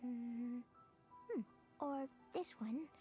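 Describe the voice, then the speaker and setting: A woman voicing an innocent, excitable little girl adventurer in a video game, with a childlike, naive, sing-song delivery. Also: a little high, extremely slow, soft background music. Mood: searching, eager. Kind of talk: anime-style video game voice acting.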